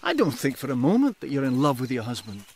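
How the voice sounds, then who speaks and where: An actor playing a wry, hard-drinking colonial gentleman in a radio drama, teasing and cynical, with a sardonic, probing way of talking. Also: medium pitch, wildly swooping pitch, quick pace, slight background noise. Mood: amused, probing.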